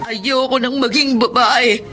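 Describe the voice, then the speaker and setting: A woman's voice: on the high side, fairly even intonation, a little slow, lightly acted. Radio drama casting: woman to play guarded tomboy, young, emotional, hesitant under pressure; music bed behind. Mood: pained. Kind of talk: Tagalog radio drama acting.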